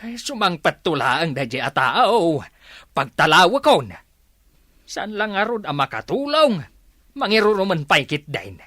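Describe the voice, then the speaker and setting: Lady playing excitable grumbly villager in a radio comedy, deep voice, really dry close recording. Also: unhurried, no room sound, heavily acted. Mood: indignant. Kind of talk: Ilocano radio comedy drama acting.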